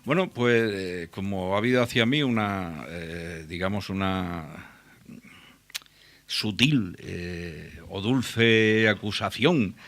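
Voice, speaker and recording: A man with a Murcian accent who moderates a local radio talk show, speaking in a measured way and steering the discussion. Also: low-pitched, a bit bright, no echo. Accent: Murcian Spanish.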